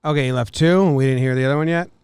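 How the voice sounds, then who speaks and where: Male podcast host, informal sports banter, smooth clean delivery, no room echo. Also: a little high, racing, darkish tone. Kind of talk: casual sports chat.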